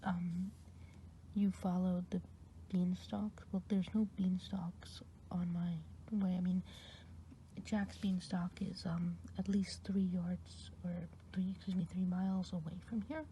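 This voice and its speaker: A woman's voice: fairly low pitch, level tone, takes it slowly, a little hiss or hum, rough recording. A woman whispering as a kindly witch healer. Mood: soft whispering. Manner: soft whisper, patient questioning. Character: kindly woman witch healing a hexed patient. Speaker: ASMR performer. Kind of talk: ASMR roleplay.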